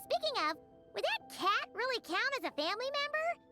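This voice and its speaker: A woman voicing a small, chatty, curious childlike companion in a video game, squeaky and perky. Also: very animated, fairly quick, music in the background. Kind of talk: video game character acting.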